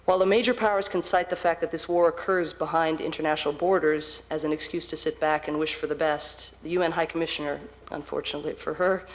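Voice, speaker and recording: A man hosting an event, giving a formal prepared introduction in an earnest, measured, rhetorical way. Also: a little high, low-quality recording. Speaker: event host introducing the guest speaker. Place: public address at an institute.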